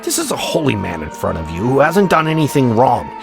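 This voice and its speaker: An indignant, devout prisoner voiced by a man in an audiobook drama. He scolds earnestly in a raised pitch, with faint music underneath. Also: huge pitch swings, brisk, vocal fry. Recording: music behind the voice.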